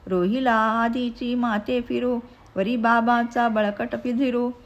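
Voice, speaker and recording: A woman recites devotional verse as a narrative. Her voice sits fairly high, and she takes each line very slowly, in measured phrases. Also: steady tone, lo-fi sound.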